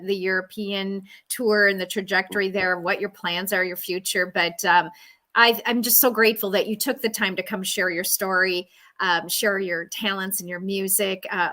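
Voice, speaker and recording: Bright-voiced woman hosting in her fifties. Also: lowish voice. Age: 50s. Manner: warm, effusive and gracious. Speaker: show host. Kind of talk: live interview show hosting.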